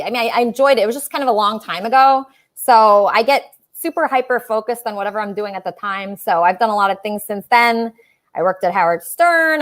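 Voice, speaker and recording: A woman's voice: fairly high pitch, bright, forceful tone. A woman hosting a casual livestream talk show, chatting with callers in a gushing, emphatic way.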